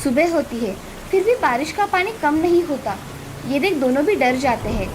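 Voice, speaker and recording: A woman's voice: sits high, animated, normal speed. A woman narrating a scripted moral story as a storyteller. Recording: clearly noisy, with ambience behind.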